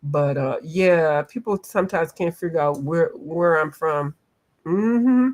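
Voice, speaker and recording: A fairly low-voiced woman chatting casually at home with viewers as she colors. She answers their comments out loud, laughs easily and keeps a loose, playful tone. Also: takes it slowly. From eastern United States. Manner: laughs often, playful, drifts between accents. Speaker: coloring livestream host. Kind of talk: casual chat with live viewers.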